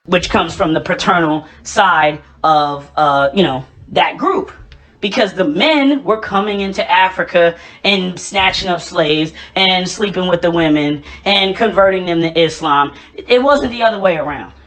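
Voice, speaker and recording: A woman's voice: on the low side, measured pace, a bit bright. Assertive woman lecturing. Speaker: video commentator. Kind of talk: argumentative commentary.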